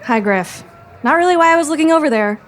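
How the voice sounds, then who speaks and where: A woman playing an indignant, sharp-tongued teenage girl student in an audio drama. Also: sits high, lively intonation, fast, a little hiss or hum. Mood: scornful. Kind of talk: scripted audio drama acting.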